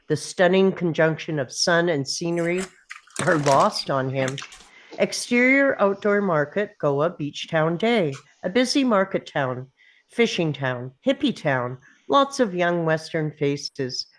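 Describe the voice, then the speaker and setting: A woman narrating screenplay stage directions in short, clipped, punchy phrases at a table read. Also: low voice. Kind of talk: scripted narration of screenplay action.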